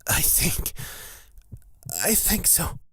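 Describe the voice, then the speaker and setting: A man's voice: extremely slow, fairly bright tone, dry recording, studio quality. A man playing an anxious, earnest young male blood witch in a fantasy audio drama. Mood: weak, dazed.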